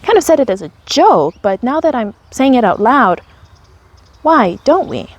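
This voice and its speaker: A woman's voice: fairly high pitch, very animated, normal speed, no echo. A woman, telling her own story in a candid, wry, conversational way. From Canada. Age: 30s. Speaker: vlogger. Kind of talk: personal storytelling.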